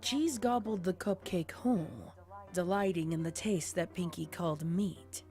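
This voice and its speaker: A woman's voice: lowish voice, unhurried, warm tone, faint music behind. A woman reading scripted story narration as the narrator of a tale.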